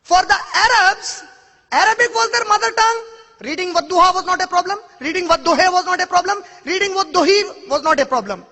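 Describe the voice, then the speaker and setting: Emphatic high-voiced man in echoing hall. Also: brisk, sharp tone. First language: Urdu. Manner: emphatic, challenging, rhetorical questions. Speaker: speaker answering an audience question. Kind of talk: public question-and-answer lecture. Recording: long hall reverb.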